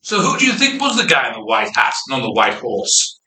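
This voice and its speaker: An actor playing a droll, sardonic ghost sidekick with a dry, mock-deferential manner in an amateur radio play. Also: male voice, mid-range voice, wildly swooping pitch, a little fast, sharp tone, heavily acted. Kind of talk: amateur old-time radio drama acting. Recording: echoey room with faint noise.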